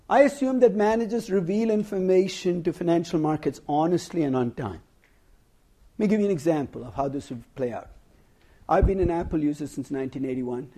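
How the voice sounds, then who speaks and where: A middle-aged man lecturing a class on finance, husky from losing his voice, wry and conversational, putting questions to his students. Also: on the high side, dark tone. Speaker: finance professor. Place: lecture hall.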